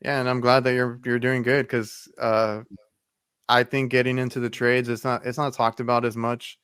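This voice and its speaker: Smooth-voiced man hosting a chat. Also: mid-range voice, little pitch movement, normal speed, a bit bright, no room sound, clean studio sound. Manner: friendly, full of fillers and restarts. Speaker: podcast host, HVAC technician. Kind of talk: casual interview talk.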